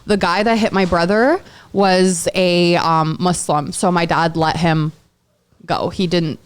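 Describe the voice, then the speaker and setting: A woman's voice: fairly low pitch, fairly even intonation, measured pace, clean tone. A young woman from Tajikistan, whose first language is Dari, chatting as a podcast guest and telling stories frankly, packed with "like" fillers. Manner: rambling, full of "like" fillers, frank storytelling. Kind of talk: casual chat.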